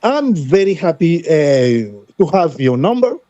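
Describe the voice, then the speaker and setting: A man from DR Congo with a Congolese accent, talking football as a guest caller in halting, repetitive, emphatic English that is not his first language. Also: on the high side, big pitch swings, mellow, no echo, lo-fi sound. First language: French. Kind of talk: animated football talk.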